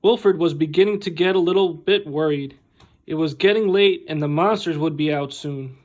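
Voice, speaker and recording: A man reading a picture book aloud expressively, with animated, deliberate phrasing and dramatic pauses, like a school staff member at storytime. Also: on the high side, lo-fi sound.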